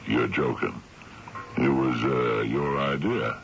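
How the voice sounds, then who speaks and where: An actor playing an easygoing, amused uncle in a radio drama, with a gravelly voice and folksy manner. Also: male voice, a bass voice, steady tone, slow pace, heavily acted. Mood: amused, coaxing. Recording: dull, noisy old radio broadcast.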